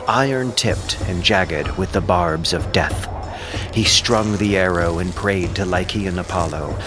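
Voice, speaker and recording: A man narrating an ancient epic as a scripted audio drama, solemn and declamatory. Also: low voice.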